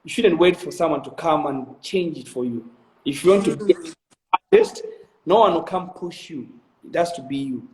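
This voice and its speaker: A man's voice: on the high side, normal speed, darkish tone, echoey room, poor audio. A young man in his twenties with a Malawian accent, answering interview questions in an earnest, motivational way, with many ums and restarts. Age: 20s. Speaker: interview guest, video director.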